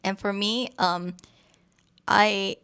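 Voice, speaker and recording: A young woman whose first language is Cantonese, chatting casually as a co-host and telling stories softly, with many pauses and fillers. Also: mid-range voice, steady tone, clear, resonant tone. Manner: soft, hesitant storytelling with many fillers. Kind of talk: casual chat.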